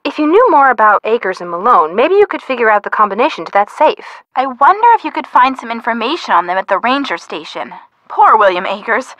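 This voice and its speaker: A woman playing a young, chatty, teasing friend of a detective in a video game, with an American accent and an expressive, playful delivery. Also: on the high side, no room sound.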